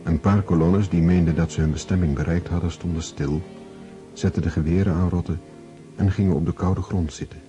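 A man's voice: low-pitched, fairly even intonation, brisk, music in the background. A man reading scripted literary narration as the narrator of a radio drama. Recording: dull lo-fi old radio recording.

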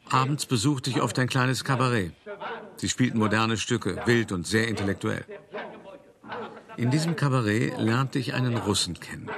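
An actor playing a self-important older art dealer who tells of his past in an expressive, articulate, wry monologue for a radio play. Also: lowish voice, natural voice. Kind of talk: theatrical first-person monologue, storytelling.

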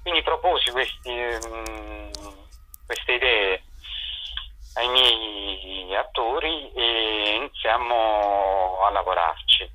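A man's voice: fairly low pitch, slow, sharp tone. A middle-aged man explains things in an interview, hesitating and searching for words. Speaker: theatre director and performer. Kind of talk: informal spoken interview answers. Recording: dull lo-fi telephone-radio sound.

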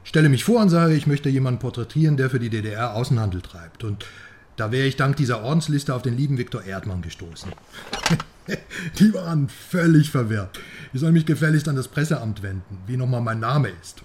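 An actor playing a cocky Western journalist in a radio drama, teasing and sarcastic, swinging between loud and hushed. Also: male voice, mid-range voice, lively intonation, fairly quick, close to an everyday voice. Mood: mocking.

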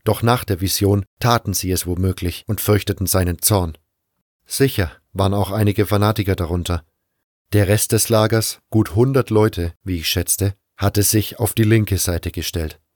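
A man's voice: on the low side, fairly even intonation, moderate pace, a little mellow, no room sound, studio quality. A man reading scripted first-person narration for an audio drama.

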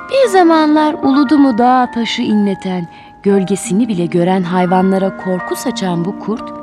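A woman telling a children's fairy tale in expressive, scripted narration. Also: sits high. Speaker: children's storyteller.

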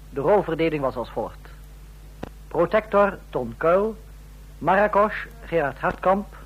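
A male radio announcer with a fairly high, husky voice. He reads scripted credits formally and at a slow, measured pace. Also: highs cut off.

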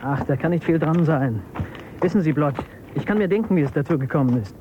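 Radio play: male actor as evasive technician, higher-pitched, casually confident, dull sound with traffic rumble behind. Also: lively intonation, a little fast, natural voice. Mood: confident, dismissive. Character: evasive, self-assured male rocket technician. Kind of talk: German radio drama acting. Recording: muffled, with loud vehicle and street noise.

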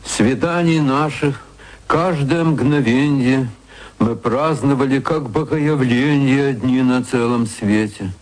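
A man reciting his own verse in a measured, solemn, chanting way, from an archive recording. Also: mid-range voice, darkish tone, poor audio. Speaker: poet reading his verse.

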